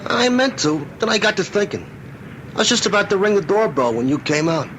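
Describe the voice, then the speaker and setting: An actor playing a cynical, scheming young hustler in old-time radio drama, slangy and insinuating. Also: male voice, sits high, sing-song, a little fast, background noise. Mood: suspicious, probing.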